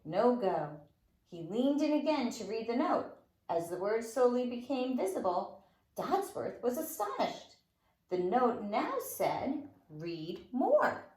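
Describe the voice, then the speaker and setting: Lady reading a kids story out loud, high voice, super expressive, kinda echoey room. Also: unhurried. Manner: animated, dramatic emphasis. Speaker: nursery school teacher reading a storybook. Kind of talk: expressive read-aloud storytelling for young children. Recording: roomy, echoing room sound.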